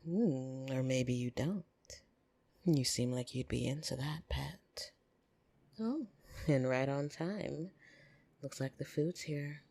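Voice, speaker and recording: A voice actor playing a flirtatious, possessive upper-class vampire in an intimate ASMR roleplay, teasing and soft-spoken with breathy whispers. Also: medium pitch, takes it slowly, long pauses. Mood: playful, flirtatious. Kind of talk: ASMR roleplay, intimate second-person monologue.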